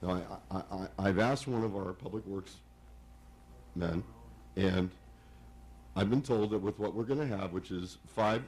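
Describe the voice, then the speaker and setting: A hoarse man speaking haltingly at a village commission meeting, often repeating words. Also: deep voice, a little mellow. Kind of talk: public meeting remarks.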